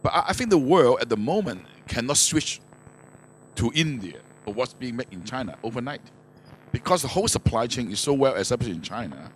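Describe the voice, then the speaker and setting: A man's voice: medium pitch, lively intonation, a little fast. An older man explains business matters from a conference stage. His English has non-native phrasing and small grammatical slips. The handheld microphone recording carries faint background noise. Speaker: manufacturer speaking as conference panelist. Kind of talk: panel discussion, explaining business trends. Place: conference stage, indoors, before an audience. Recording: noisy handheld mic in conference hall.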